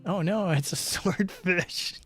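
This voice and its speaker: A man's voice: high-pitched, takes it slowly, fairly bright tone, soft background music. A man streaming a game, switching between playful chatter and theatrical story read-aloud.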